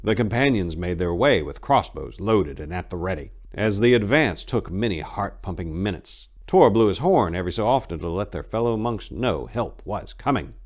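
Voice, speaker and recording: Deep-voiced man narrating dark fantasy dramatically. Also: lively intonation, moderate pace, few pauses. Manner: theatrical, suspenseful delivery. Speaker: audiobook narrator.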